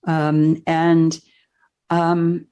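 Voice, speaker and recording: Lady host on a podcast, lowish voice, thoughtful, restarts her sentences a lot. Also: monotone, drawn-out words, mellow, smooth. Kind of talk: interview conversation.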